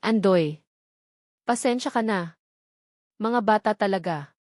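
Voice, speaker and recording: A woman voicing an anxious mother in an audio love story, hurried and apologetic. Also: mid-range voice, a little mellow, dry recording, clean studio sound, natural voice. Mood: flustered.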